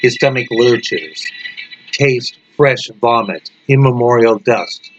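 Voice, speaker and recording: Guy reading horror fiction real slow and dramatic, muffled audio, lots of noise behind him. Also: mid-range voice. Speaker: story reader. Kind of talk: scripted narration of horror fiction. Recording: dull lo-fi recording with loud background noise.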